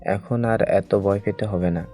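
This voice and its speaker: A young lover, played by a man in an audio drama. The voice is low and soft-edged, and the lines come out earnest and conversational. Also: steady tone, unhurried, faint music behind, natural voice. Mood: impatient, hopeful. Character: young man, eager secret boyfriend on the phone. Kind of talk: dramatised audio story dialogue.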